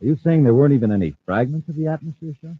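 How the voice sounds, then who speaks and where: A man's voice: fairly high pitch, lively intonation, very fast, breathy edge, no echo. A man playing a cautious, formal space service professional in a 1950s radio adventure serial. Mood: concerned, formal. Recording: tinny, narrow old radio recording.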